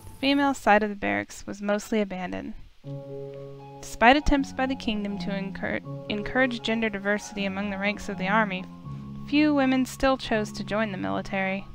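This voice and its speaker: A woman's voice: mid-range voice, fairly quick, gravelly. Woman narrating a story over music. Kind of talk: scripted visual novel narration.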